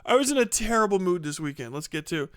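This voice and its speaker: Male livestreamer, crisp and dry-sounding, chatting casually and loosely with lots of swearing and animated delivery. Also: high voice, quick pace, dry recording. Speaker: livestream host.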